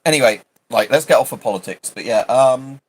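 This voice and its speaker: A man's voice: mid-range voice, brisk, breathy edge, rough recording. A British man chatting casually on a livestream, rambling and hesitant, often interrupting himself. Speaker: livestream host.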